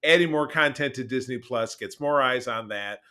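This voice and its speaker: Bright, forceful man chatting on a podcast. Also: mid-range voice, moderate pace, clear, resonant tone. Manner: animated, emphatic, repeats phrases. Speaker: podcast co-host. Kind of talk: casual opinionated podcast chat.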